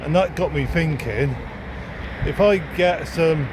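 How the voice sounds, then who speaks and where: A man's voice: fairly high pitch, takes it slowly. A man talking casually and unscripted, thinking aloud in a hesitant, rambling way as he records a vlog while walking outdoors. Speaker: second-hand bookseller and vlogger. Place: outdoors, walking along a town street. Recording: outdoor street noise with passing traffic. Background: street ambience and vehicles.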